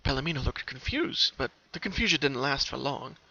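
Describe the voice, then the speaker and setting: A man's voice: mid-range voice, lively intonation, brisk, pushed and bright. A man reading a story aloud as a dramatic, scripted narration, on a slightly lo-fi home recording.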